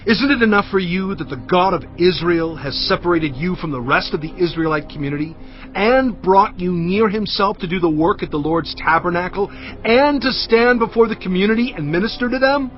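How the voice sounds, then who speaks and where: A stern, righteous leader played by a man in an audio scripture drama. His voice is fairly high and emphatic, admonishing his people. Music plays behind the slightly dull recording. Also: a little fast, close to an everyday voice. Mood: reproachful. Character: Moses, stern righteous male leader of Israelites. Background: background music.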